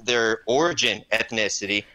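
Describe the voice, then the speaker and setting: A man's voice: fairly low pitch, moderate pace, sharp tone, low-quality recording. A man calling into an online debate, arguing insistently with many restarts and repeated words. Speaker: debate caller.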